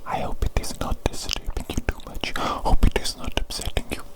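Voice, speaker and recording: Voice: moderate pace, natural voice. An ASMR performer playing a doting evil doctor, whispering breathily and soothingly, often repeating phrases. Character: doting mad evil doctor fussing over own creature. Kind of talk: whispered ASMR medical roleplay.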